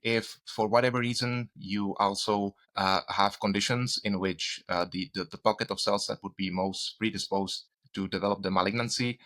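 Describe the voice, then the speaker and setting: A man, a medical researcher interviewed as a guest, explaining technical ideas in a hesitant, halting way. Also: on the low side, fairly even intonation, fairly bright tone. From Czech Republic, grew up in Spain. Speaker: guest, doctor and cancer researcher.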